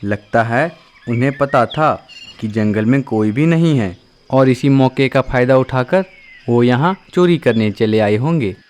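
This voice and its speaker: Guy doing a clever cartoon crow, hotel owner, calm and agreeable, mid-pitched voice. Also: measured pace, slight background noise, close to an everyday voice. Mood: agreeing.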